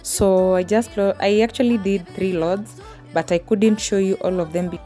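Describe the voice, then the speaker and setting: A woman's voice: medium pitch, moderate pace, faint music behind. A woman, casually narrating her home cleaning in a chatty voice-over. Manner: relaxed, chatty, small restarts. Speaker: homemaking vlogger.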